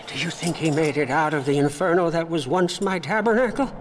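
An actor playing a manic, devout wasteland car mechanic in a video game, babbling and stammering with zealous reverence. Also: male voice, on the high side, brisk, slight background noise, close to an everyday voice. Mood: excitable, fussing. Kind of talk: theatrical video game character acting.

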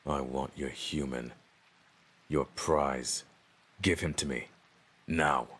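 An extremely deep-voiced male orc in a fantasy audio drama. The voice is rough and slow, pompous and menacing, that of a domineering bully who expects to rule. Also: steady tone, fairly bright tone, full character voice. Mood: demanding. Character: arrogant, domineering male orc, would-be chief.

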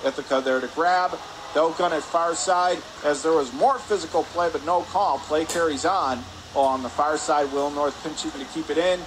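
A man giving live radio-style hockey play-by-play in clipped phrases, getting excitable on big plays. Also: on the high side, moderate pace. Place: indoor ice hockey arena. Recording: clear rink noise behind. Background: arena ambience and noise.

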